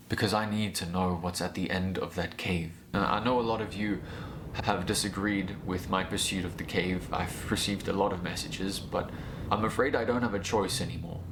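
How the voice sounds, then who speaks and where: Man playing a low-voiced, halting caver on noisy video. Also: fairly bright tone. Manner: halting, hesitant. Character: weary, resolute male amateur caver keeping a video journal. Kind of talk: first-person found-footage monologue. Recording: noisy amateur video with ambient sound.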